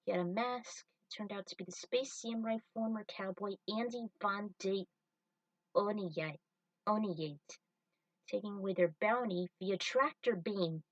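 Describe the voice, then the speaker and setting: A woman delivers scripted narration of an adventure story at a middling pitch. She sits a little away from the microphone, with very little room sound around her. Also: measured pace, no echo, recorded from a distance, poor audio. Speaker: narrator and reader of a fan fiction story.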